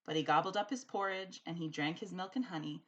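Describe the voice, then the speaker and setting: A woman reading a rhyming children's story aloud like a teacher, with an expressive, sing-song rhythm. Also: fairly low pitch, fairly quick, highs cut off. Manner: animated, sing-song rhythm following the rhyme.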